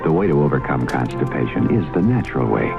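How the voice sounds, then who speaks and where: A man's voice: very deep voice, sing-song, quick pace, gravelly, loud music behind the voice. A man reading a scripted 1970s radio advertisement as a commercial announcer. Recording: muffled old radio broadcast.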